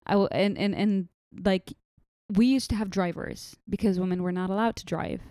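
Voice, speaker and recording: Chatty young woman with a mellow voice. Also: mid-range voice, fairly even intonation, normal speed, no echo. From Saudi Arabia. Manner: chatty, many fillers and restarts. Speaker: interview guest. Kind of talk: casual interview chat.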